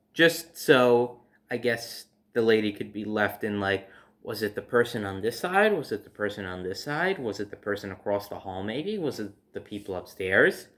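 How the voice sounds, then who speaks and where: A young man recounting stories in a loose, rambling way, swearing often and circling back with "so". His pitch is mid-range and his delivery sounds everyday and unscripted. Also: a bit bright. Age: young adult. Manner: rambling, profane, starts lines with "so". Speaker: storytime vlogger. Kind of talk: casual storytelling.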